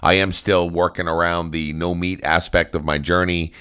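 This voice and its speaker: Middle-aged male podcast host, extremely low deep voice, loose upbeat rambling delivery. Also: level tone, moderate pace, fairly bright tone, clean tone. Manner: rambling and upbeat, with frequent restarts. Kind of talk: casual solo podcast monologue.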